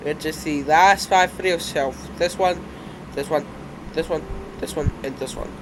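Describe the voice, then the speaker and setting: A young man with a stuffed-up, sick-sounding voice, rambling casually as he reacts to videos at his computer. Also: fairly high pitch. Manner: rambling, halting, uneven loudness. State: stuffed up from a cold. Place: indoors at a computer. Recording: noisy home recording.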